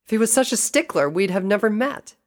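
A narrator voicing a brash, defiant young female pegasus pony who talks in an insistent, forceful way. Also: mid-range voice, very animated, normal speed, voice pushed up.

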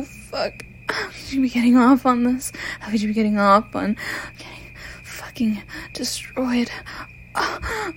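Woman acting a high-voiced, whispering sadistic killer seductress. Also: a little hiss or hum, low-quality recording. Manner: breathy whispering, taunting, commanding. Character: sadistic, possessive female killer dominating her captive lover. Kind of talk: explicit erotic audio roleplay, close whispering.

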